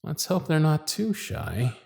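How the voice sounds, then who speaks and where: Smitten magician's inner voice, acted by a man: hushed, fond, confiding, intimate ASMR roleplay monologue. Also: on the high side, very animated, slow, creaky, heavily acted. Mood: smitten, musing. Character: smitten magician's private thoughts, shy and fond.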